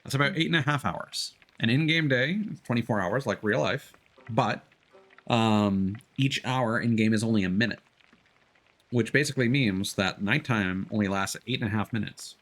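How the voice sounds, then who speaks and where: A man casually explaining game mechanics on a gaming stream in a halting, rambling way. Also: lowish voice, a bit bright.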